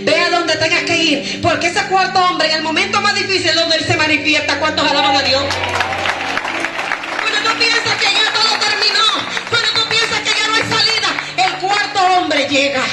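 A very high-voiced woman in her thirties preaches with shouting, sing-song fervor and breaks into tongues. She holds a handheld microphone in a big bare concrete courtyard at night. Her voice echoes around the space over loud worship music. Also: moderate pace, a loud crowd. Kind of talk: fiery Pentecostal sermon.

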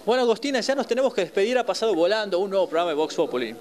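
A man's voice: high-pitched, racing. A young Argentine man hosting a casual TV show in a bar, upbeat and holding a handheld mic. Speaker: TV host. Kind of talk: casual TV interview. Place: bar with live band. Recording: loud live music behind.

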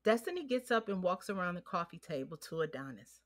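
A woman's voice: fairly low pitch, sing-song, brisk. A woman reading scripted narration for an audio drama, describing the action. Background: music.

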